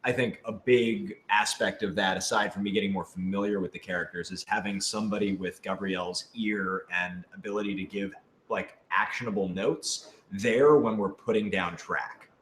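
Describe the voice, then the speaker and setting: A man's voice: on the low side, steady tone, normal speed, a bit bright. A man, a voice actor, chatting off the cuff in a playful, informal way during a question-and-answer session. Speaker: voice actor on a radio drama. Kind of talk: casual unscripted Q&A chat. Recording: echoey room with clear background noise.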